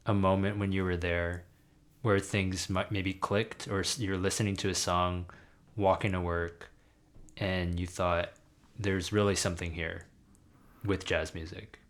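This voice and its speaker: A male podcast host with a very low voice asks open, curious questions. He keeps his guest going with short, encouraging replies. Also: level tone, unhurried, pauses often. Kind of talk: interview questions.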